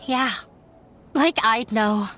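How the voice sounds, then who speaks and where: High-voiced, slow, resigned young student. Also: female voice, pushed and bright, faint music behind, full character voice. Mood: bitter, dejected. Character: weary, self-blaming young woman student, resigned and apologetic. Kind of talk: video game voice acting.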